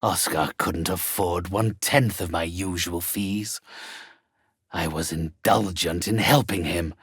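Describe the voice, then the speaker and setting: An actor playing a haughty, theatrical undead necromancer in a video game, speaking with pompous, condescending, ornate phrasing. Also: male voice, low voice, slow pace, fairly bright tone, breathy edge, dry recording, studio quality, exaggerated voice. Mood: smooth, calculating. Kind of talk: video game character acting.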